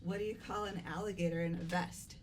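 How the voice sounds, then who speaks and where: A woman's voice: fairly low pitch, steady tone, fairly quick. A woman chatting casually as a player in a group game playthrough, with music behind. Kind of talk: casual chat.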